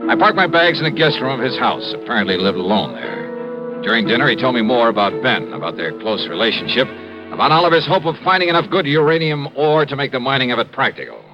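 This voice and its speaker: An actor playing a shrewd, laconic American investigator in a 1950s radio drama, probing and dry. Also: male voice, medium pitch, very animated, fast talker. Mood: dry narration. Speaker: lead actor in a detective radio serial. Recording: muffled vintage radio recording, music underneath.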